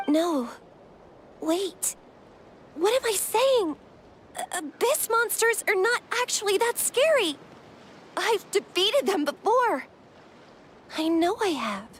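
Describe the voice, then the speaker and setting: High-voiced young warrior haunted by fear. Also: female voice, a bit bright, a little hiss or hum, exaggerated voice. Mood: frantic, fearful. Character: brave young woman warrior haunted by childhood fears, protective. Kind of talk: dramatic video game voice acting.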